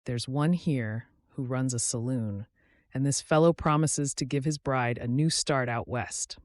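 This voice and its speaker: A man voicing a warm, eager young woman with an affectionate, earnest manner in an audiobook. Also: medium pitch, a little slow, no room sound, lightly acted. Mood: curious.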